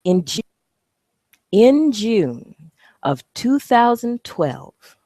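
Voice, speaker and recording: A woman's voice: a little high, very animated, extremely slow, warm tone, no echo, clean studio sound. A woman vlogger talking casually to the camera. She is blunt, swears freely and rambles into asides while clicking through her videos. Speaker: video blogger.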